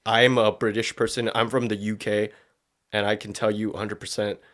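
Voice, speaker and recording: A man's voice: fairly low pitch, flat delivery, moderate pace, fairly bright tone. A man hosting a podcast, giving casual, opinionated commentary in slangy language full of profanity. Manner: slangy, profanity-laden speech. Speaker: podcast host.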